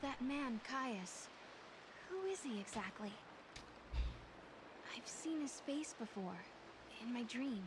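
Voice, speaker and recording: An actress voicing an earnest, questioning young heroine in a video game. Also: female voice, fairly high pitch, expressive, slow pace, long pauses, background noise. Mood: puzzled, hushed. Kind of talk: video game cutscene acting. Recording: game music behind.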